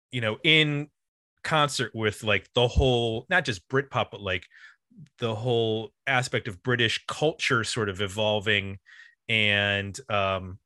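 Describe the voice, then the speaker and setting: Man hosting, bright dry voice. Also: mid-range voice, no room sound. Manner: halting, conversational, thinks aloud. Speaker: podcast host. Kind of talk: casual music album discussion.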